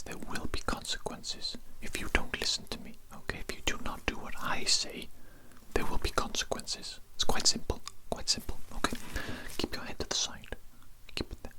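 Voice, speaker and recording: A performer voicing an evil kidnapping doctor in a whispered ASMR roleplay, domineering and disgusted, repeating commands in a deliberate, polite way. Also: natural voice. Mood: stern, threatening. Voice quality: breathy, unvoiced whisper. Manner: repetitive, commanding, deliberate, scolding.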